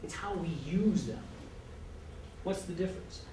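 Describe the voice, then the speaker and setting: A man's voice: sits high, lively intonation, unhurried. Echoey, far-off male teacher lecturing. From El Paso, Texas. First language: Spanish. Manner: earnest, stops and restarts, mixes in Spanish. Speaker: college writing teacher. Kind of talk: classroom lecture. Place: classroom. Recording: echoey classroom from far off, noisy.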